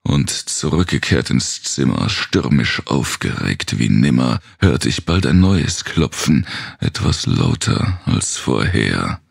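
A man with a gravelly, husky voice gives a brooding, theatrical recitation of gothic horror verse, sometimes sinking toward a whisper. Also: rumbling low, very animated, slow pace, no room sound, studio quality. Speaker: narrator.

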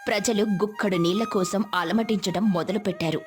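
A woman giving scripted storybook narration in a smooth, dramatic storytelling manner. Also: mid-range voice, brisk. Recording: faint music behind.

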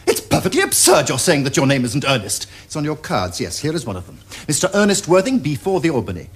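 An actor playing a flippant, teasing Victorian gentleman dandy, with an arch, theatrical upper-class English delivery. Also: on the high side, huge pitch swings, fast, husky, heavily acted. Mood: mocking, playful. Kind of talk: period stage comedy acting for television.

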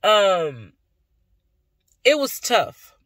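A woman's voice: medium pitch, big pitch swings, extremely slow, fairly bright tone, no room sound, studio quality. A tired young woman with a stuffy, congested nose, chatting casually to camera on a phone in a car. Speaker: vlogger. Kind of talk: casual vlog chat to camera. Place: inside a car at night. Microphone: phone at arm's length.

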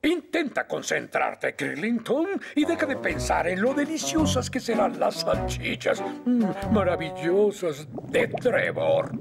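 A man voicing a pompous, blustering older fire officer in a children's cartoon, in Latin American Spanish with drawn-out, hesitant delivery. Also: high-pitched, wildly swooping pitch, creaky, full character voice. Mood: stern. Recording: loud music behind.

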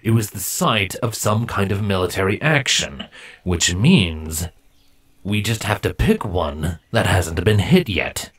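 A man's voice: low-pitched, expressive, a bit bright, husky, full character voice. A man voicing a brooding, firm male changeling squad leader in a fantasy story. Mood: stern, brooding. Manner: firm, terse, deliberate.

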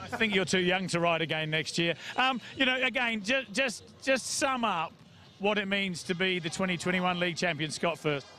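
A man doing post-race interviews at trackside, jokey and quick with his questions. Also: high voice, bright, forceful tone. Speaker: trackside reporter.

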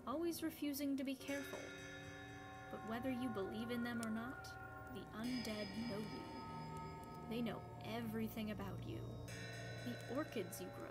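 A woman's voice: fairly high pitch, lightly acted. A woman acting in a radio play as an ominous, slyly teasing teller of ghost stories, with a dramatic, whispery manner. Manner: slow, dramatic, whispery at times. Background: loud music.

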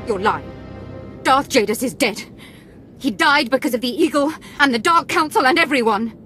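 A woman's voice: sits high, expressive, normal speed, sharp tone, soft background music. An actress playing a volatile, vengeful female Sith councillor in a video game, intense and theatrical. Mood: hurt fury. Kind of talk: dramatic video game voice acting.

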